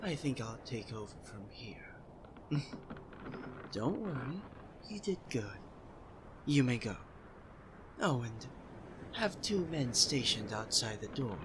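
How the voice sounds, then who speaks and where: A man's voice: medium pitch, sing-song, takes it slowly, long pauses, noisy background. A man playing a suave, self-assured pirate captain in an ASMR roleplay, courteous and playfully smug. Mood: smug, amused.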